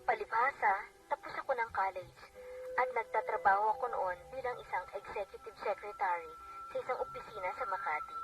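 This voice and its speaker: A woman's voice: medium pitch, fairly quick, music in the background, lightly acted. A woman playing a remorseful Filipino daughter, narrating her confessional letter earnestly for a radio drama. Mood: regretful. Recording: distant AM radio playback.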